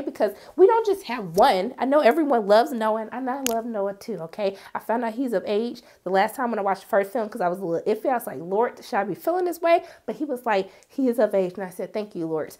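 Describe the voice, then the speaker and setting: A young woman reviewing a film in a sassy, animated way, her voice strained from being sick. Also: a little fast, hardly any pauses. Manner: sassy, animated, jumps high for quoted asides. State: sick, voice partly lost. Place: indoor studio, graphic backdrop.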